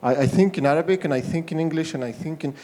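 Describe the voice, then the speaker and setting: A man's voice: fairly high pitch, fast talker, warm tone. A man in the audience who stammers through repeated starts as he makes his point. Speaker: audience member. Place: hall at a symposium. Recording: long reverb in a hall.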